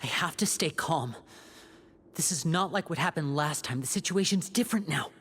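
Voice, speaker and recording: A man's voice: a little high, lively intonation, moderate pace, a bit bright, a little hiss or hum. An actor playing an earnest, protective, headstrong young male team leader in a video game, tense and theatrical. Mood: anxious.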